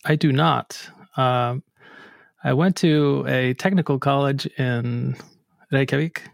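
A man from Iceland, a programmer guest, answering interview questions in a relaxed, hesitant way with many pauses. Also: mid-range voice, vocal fry, no room sound, studio quality. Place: home near Boston.

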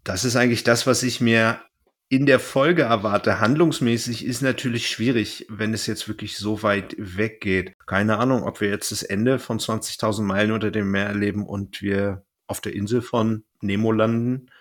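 A man's voice: fairly low pitch, fairly even intonation, moderate pace. A man chatting casually as a podcast host, thinking aloud and often rephrasing mid-sentence.

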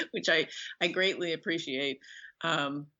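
A woman's voice: sits low, slow pace, pushed and bright. A middle-aged woman speaking conversationally as an author being interviewed, on a slightly dull online-call recording. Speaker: guest author.

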